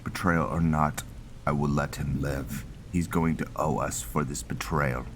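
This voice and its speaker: A man's voice: very deep voice, fairly even intonation, a little slow, a bit bright. A man giving casual video game playthrough commentary, musing and thinking aloud as he roleplays. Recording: clear game noise behind voice.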